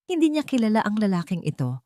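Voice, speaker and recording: A woman's voice: medium pitch, big pitch swings, fast, dark tone, no room sound, clean studio sound. A woman narrating a scripted dramatic story.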